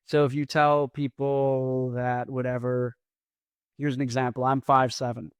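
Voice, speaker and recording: A man's voice: mid-range voice, little pitch movement, a little slow, smooth, no room sound, clean studio sound. A man hosting a podcast in a rambling, casual solo monologue. He mumbles his words and lets his S sounds drag out. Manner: rambling, self-deprecating. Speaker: podcast host.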